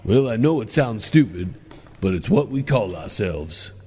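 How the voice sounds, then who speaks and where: Man playing a brash, lively wisecracking cartoon hero. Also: on the low side, measured pace, husky, exaggerated voice. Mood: blustering, stalling. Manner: sarcastic, brash. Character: crude, wisecracking, grumbling reluctant hero.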